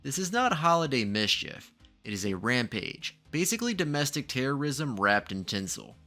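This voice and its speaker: A man's voice: mid-range voice, sing-song, normal speed, sharp tone. A man narrating a scripted video essay in a dramatic, ominous true-crime manner, posing rhetorical questions. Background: background music.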